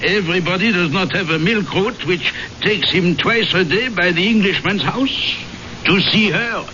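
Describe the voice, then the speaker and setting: A man's voice: high voice, normal speed, pushed and bright. An actor playing a superstitious, deferential village milkman who stammers nervously and hesitates, in an old radio drama. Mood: nervous, sheepish. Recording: hissy, dull old radio recording.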